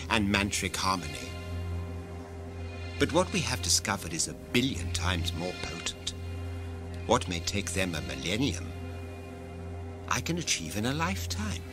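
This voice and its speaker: Man acting a ruthless, theatrical director, sweeping delivery. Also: on the low side. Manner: commanding, grandiose, theatrical. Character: ruthless, ambitious male corporate director. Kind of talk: science-fiction audio drama acting. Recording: music behind the voice.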